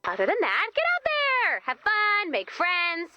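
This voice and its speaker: A woman's voice: very high voice, huge pitch swings, measured pace, dry recording, highs cut off, soft background music, exaggerated voice. A woman voicing a perky, bossy young summer camp director in a video game, with emphatic delivery. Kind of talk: video game character acting.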